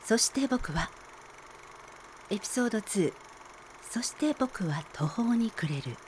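A middle-aged woman hosting a radio show, talking in a soft-spoken way as she introduces a song. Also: fairly high pitch, lively intonation, unhurried, slight background noise.

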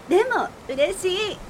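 An eager young girlfriend in a radio drama, acted by a woman. Her voice is pitched high, with a girlish, pouty keenness that easily tips into delight. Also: wildly swooping pitch, drawn-out words, background noise, full character voice. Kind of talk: Japanese radio drama acting.

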